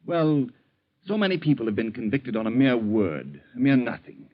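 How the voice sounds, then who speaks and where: An actor playing a mild but shrewd bank teller who probes in a folksy, leading way, in 1940s radio style. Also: male voice, mid-range voice, sing-song, normal speed, close to an everyday voice. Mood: storytelling. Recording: dull, lo-fi 1940s radio recording.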